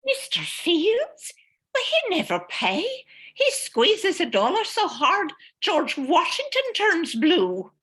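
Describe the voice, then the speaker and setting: Anxious innocent woman, radio melodrama, actress, high, drawn-out, very animated delivery. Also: exaggerated voice. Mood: frightened. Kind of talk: melodramatic 1940s radio acting.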